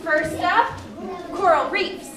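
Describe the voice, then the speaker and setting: High-pitched, eager girl puppet, voiced by a woman. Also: takes it slowly. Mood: eager, curious. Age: young adult. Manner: exclamatory, full of questions. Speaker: puppeteer in a student educational show. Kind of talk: live children's puppet show acting. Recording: echoey hall, distant mic, crowd noise.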